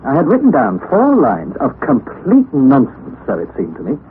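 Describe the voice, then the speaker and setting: An earnest young nephew narrating. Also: male voice, medium pitch, normal speed, slight background noise. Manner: earnest storytelling, rising to excited outbursts. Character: young male nephew narrator, wry and anxious. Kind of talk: 1960s radio drama narration. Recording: tinny lo-fi 1960s radio broadcast.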